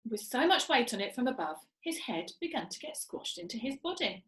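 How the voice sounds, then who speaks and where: A woman reading a children's story aloud with expressive storytelling delivery. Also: a little high, brisk. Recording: echoey room.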